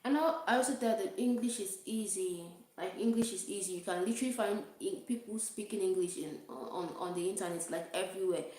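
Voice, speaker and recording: A woman's voice: medium pitch, fairly quick, few pauses, darkish tone. Nigerian woman chatting in an echoey room. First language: Igbo. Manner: rambling, self-deprecating, laughs often. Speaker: vlogger. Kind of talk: casual livestream chat.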